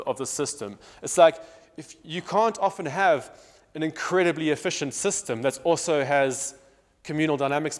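A man in his thirties with a South African accent, giving a public lecture, thinking aloud with many restarts and fillers. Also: a little high. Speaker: guest speaker. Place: large hall with marble wall. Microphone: lapel. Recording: long hall reverb.

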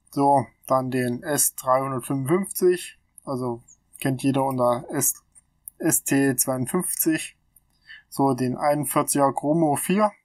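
A man's voice: medium pitch, no room sound. A man in his thirties with a stuffy cold, casually explaining technical calculations on his channel, thinking aloud with fillers, recorded on a laptop mic in a small room. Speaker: channel host. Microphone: laptop webcam mic.

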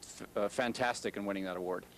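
Fast-talking middle-aged man being interviewed. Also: fairly low pitch, a bit bright. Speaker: interviewee, public access station representative. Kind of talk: live interview answers. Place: indoors in a TV studio. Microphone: handheld stick microphone.

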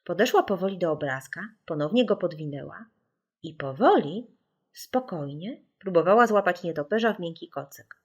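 A woman narrating a children's audiobook in an expressive, scripted storytelling style. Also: on the low side, moderate pace, husky. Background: music.

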